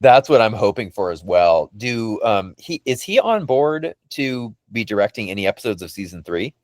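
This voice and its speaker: A man's voice: fairly low pitch, animated, moderate pace, no room sound. A man hosting a podcast in casual fan chat, enthusiastic and effusive, rambling and circling back over his phrases. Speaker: podcast host.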